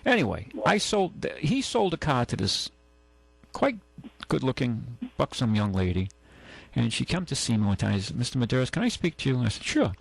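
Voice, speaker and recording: A man with a gravelly, creaky voice hosts a talk radio show. He tells long, meandering stories, stumbles over restarts and acts out other people's lines. The sound is dry and close. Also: medium pitch, sing-song, brisk, no echo, low-quality recording. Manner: rambling anecdotes with many restarts and acted-out quotes. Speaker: radio show host and car dealer. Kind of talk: casual on-air storytelling. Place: radio studio.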